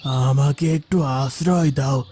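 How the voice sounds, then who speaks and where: A man's voice: mid-range voice, steady tone, slow pace, background noise, lightly acted. A man playing a humble, pious, anxious old villager in a moral cartoon, speaking in a prayerful, pleading way. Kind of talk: Islamic moral cartoon voice acting.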